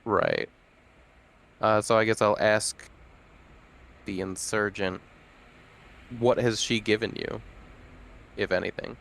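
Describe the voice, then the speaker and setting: A man's voice: on the low side, steady tone, slow pace, gravelly, pauses often, fairly bright tone. A man playing a tabletop game as a player, with laid-back, dry replies.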